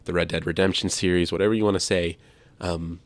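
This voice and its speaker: A man's voice: low voice, steady tone, fast talker, no room sound. A man co-hosting a casual discussion, making his points with some emphasis and repetition. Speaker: podcast co-host. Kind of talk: casual discussion of video games.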